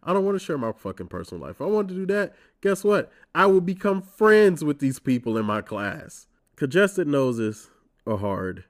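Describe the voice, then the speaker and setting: A man's voice: a little high, big pitch swings, moderate pace. A man in his twenties, congested from a cold, hosting his own podcast in a wry, profane, ranting casual monologue. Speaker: podcast host.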